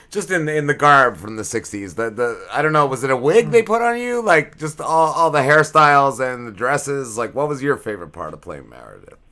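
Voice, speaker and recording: Bright-voiced male podcast host. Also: medium pitch. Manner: stumbling, restarts a lot, quick to laugh. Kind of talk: casual interview.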